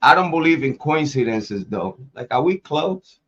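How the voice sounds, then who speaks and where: A man chatting loosely on a home livestream, his voice still a little worn from being sick. He repeats words, restarts sentences and laughs between thoughts. His pitch sits in the middle, and the sound is slightly dull. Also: sing-song, moderate pace, fairly bright tone. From Ohio, US. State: recovering from illness, voice coming back. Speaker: YouTube true crime host. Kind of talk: casual livestream chat.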